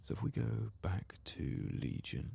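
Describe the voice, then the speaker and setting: A man softly murmuring and half-whispering gameplay commentary in a husky, breathy voice, like an ASMR host. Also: on the low side, sing-song, unhurried.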